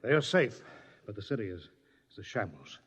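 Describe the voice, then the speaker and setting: An actor playing an urgent, alarmed scientist in a 1950s radio drama. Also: male voice, lowish voice, big pitch swings, a little fast, breathy edge. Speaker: astronomer. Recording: muffled old radio broadcast with reverb.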